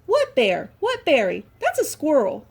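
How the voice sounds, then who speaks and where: A woman voicing a quick, excitable male squirrel with eager, questioning cries. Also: high-pitched, creaky, voice pushed up, full character voice. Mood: excited.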